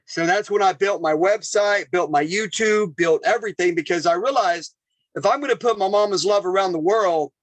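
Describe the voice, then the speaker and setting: High-voiced animated man, dry sound. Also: normal speed, no echo. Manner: animated, talks in bursts with repeated phrases. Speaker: chef and podcast guest. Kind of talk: casual storytelling interview.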